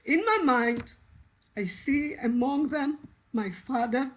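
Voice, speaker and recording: Woman, native Iraqi Judeo-Arabic speaker, halting and emotional, reading aloud, slow pace, video call. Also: high voice. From Israel. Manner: halting, searching for English words, emotional. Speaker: actress and translator, guest speaker.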